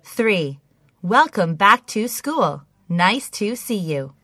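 A woman's voice: mid-range voice, big pitch swings, slow pace, bright, forceful tone, no room sound, clean studio sound. A woman reading scripted English listening exercises, enunciating carefully like a language-lesson recording. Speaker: English listening test reader.

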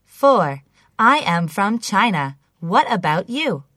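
A woman's voice: medium pitch, big pitch swings, slow pace, noisy background. A woman reading scripted English listening exercises, enunciating carefully like a language-lesson recording. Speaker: English listening test reader.

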